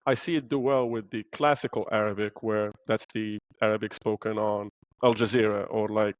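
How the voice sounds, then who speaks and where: A man from Morocco speaks as a podcast guest, explaining his view earnestly with many small fillers. His voice sits on the low side, and the recording sounds dull and lo-fi with almost no room sound. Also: fairly even intonation, moderate pace, no room sound. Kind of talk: podcast interview.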